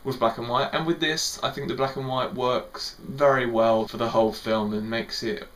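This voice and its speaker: A man's voice: mid-range voice, steady tone, moderate pace. A young man speaks casually into his camera, reviewing a film. His voice sits in a middle range, and his talk wanders, with repeated words and fillers. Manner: rambling, repeats words, lots of fillers like "sort of".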